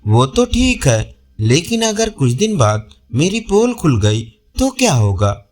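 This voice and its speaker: A man's voice: fairly high pitch, big pitch swings, slow, darkish tone, full character voice. A man voicing a greedy, gullible male snake in a storybook tale, with an eager, questioning manner. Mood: doubtful.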